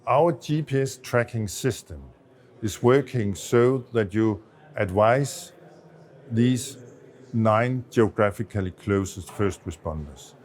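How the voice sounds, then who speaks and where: Low-voiced Danish man explaining. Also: slow pace, a little mellow. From Denmark. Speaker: associate professor of medicine, expert interviewee. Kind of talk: explanatory interview answers on a medical project.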